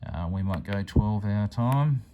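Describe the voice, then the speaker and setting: Mellow low-voiced man demonstrating gadgets. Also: measured pace, a little hiss or hum. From Australia. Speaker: product reviewer, amateur radio hobbyist. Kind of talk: casual hands-on demonstration.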